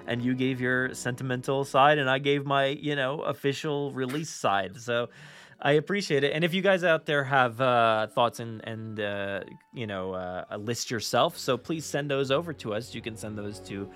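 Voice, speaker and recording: Halting male podcast host. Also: mid-range voice, fairly bright tone, soft background music. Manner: halting, repetitive, rambling delivery. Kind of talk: casual podcast chat.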